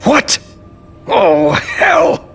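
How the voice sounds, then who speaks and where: A man playing a cocky, menacing enemy fighter in a game, with a taunting, drawn-out, theatrical delivery. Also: high-pitched, big pitch swings, over music, exaggerated voice. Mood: angry disbelief. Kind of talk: dramatic game cutscene acting.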